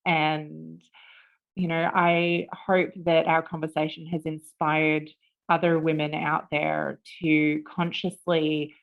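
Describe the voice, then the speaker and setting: A woman in her forties, a podcast guest, chatting casually with lively laughter and the odd swear word. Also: deep voice, takes it slowly, vocal fry, phone-quality sound. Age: 40s. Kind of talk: casual interview chat.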